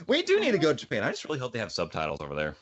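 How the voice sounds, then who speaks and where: A man's voice: medium pitch, huge pitch swings, racing, a bit bright. A North American man hosting a casual group podcast with jokey, crude mock-indignant banter. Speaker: podcast host.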